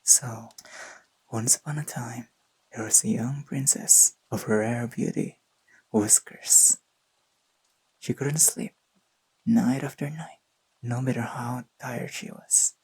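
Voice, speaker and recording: A performer voicing a gentle, teasing young boyfriend in an intimate sleep-aid roleplay, speaking softly and tenderly with a breathy, slightly husky voice. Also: mid-range voice, rough recording. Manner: tender, playful, murmured delivery.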